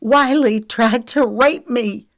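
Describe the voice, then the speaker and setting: A woman voicing a furious, frightened young woman who shouts defiantly, in audiobook style. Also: a little high, takes it slowly, no echo, studio quality, voice pushed up. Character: furious, terrified young woman fighting back. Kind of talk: audiobook character acting.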